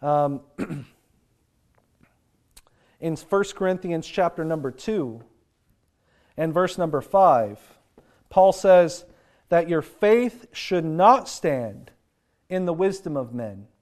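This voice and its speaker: A man preaching a sermon, sounding congested and nasal from a sinus infection, slowing to stress key phrases. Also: a little high, animated, darkish tone.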